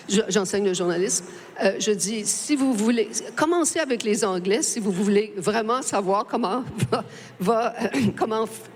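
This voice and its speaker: A woman journalist and journalism teacher on a panel, speaking haltingly with many restarts and repetitions. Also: mid-range voice. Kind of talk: live panel discussion. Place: book fair stage. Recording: reverberant hall with faint noise.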